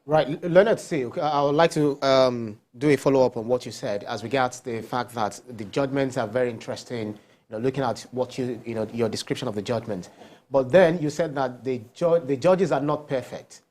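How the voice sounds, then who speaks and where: A man's voice: medium pitch, brisk, darkish tone. A man working as a TV news interviewer, putting questions in a polite, roundabout way.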